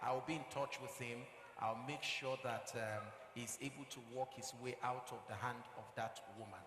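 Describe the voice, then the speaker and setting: A man's voice: medium pitch, fairly even intonation, moderate pace, natural voice. A man with a Nigerian accent acting on stage as a firm, fatherly marriage counsellor, lecturing emphatically with repetition and rhetorical questions. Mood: grave, counselling. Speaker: actor in a stage play. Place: theatre stage with live audience. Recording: echoey hall, noisy live stage recording.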